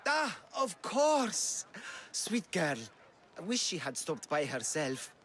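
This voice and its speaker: A money-loving market trader for a video game. His voice is high and very animated. He talks like a theatrical, ingratiating salesman in ancient Greece. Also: slow, full character voice. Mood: sly, pleased. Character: brash, money-loving male Greek market merchant. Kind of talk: video game street hawker acting.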